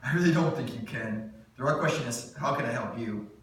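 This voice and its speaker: A man's voice: medium pitch, fairly quick, a bit bright, far from the mic, close to an everyday voice. A man reading a cocky young charmer, echoey room. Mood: smug teasing. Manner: smug, teasing. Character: cocky young male grad student James. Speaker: actor in a table read. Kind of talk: table read of a screenplay. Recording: echoey room, mic from afar, faint noise.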